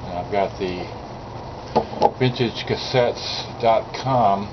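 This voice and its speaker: A man with a lowish voice talks very slowly, rambling and hesitating as he unboxes something. The sound comes from a cheap camera's built-in mic, dull and lo-fi, with clear background noise from the outdoor spot. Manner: rambling, hesitant, many fillers and restarts. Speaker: vlogger unboxing cassette tapes. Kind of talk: casual unscripted unboxing commentary. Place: outdoor table by a sunny window. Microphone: built-in mic of a small digital camera.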